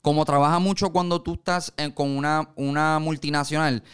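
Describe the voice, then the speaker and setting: Clear-voiced Puerto Rican man telling stories. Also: fairly high pitch, fairly even intonation, fairly quick, fairly bright tone. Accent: Puerto Rican Spanish. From Puerto Rico. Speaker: music producer, interview guest. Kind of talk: casual conversational storytelling.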